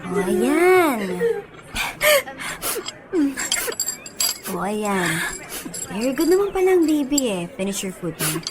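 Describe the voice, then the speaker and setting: An actress playing an exasperated young mother, coaxing and expressive, in a radio drama. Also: medium pitch, moderate pace, heavy background noise, natural voice. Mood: soothing, polite. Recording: loud music bed behind.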